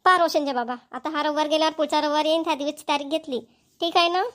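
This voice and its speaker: A woman's voice: high-pitched, steady tone, very fast, a bit bright. A woman voicing a chatty, persuasive middle-aged mother in a cartoon family comedy, with a Varhadi Marathi accent. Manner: coaxing, repetitive.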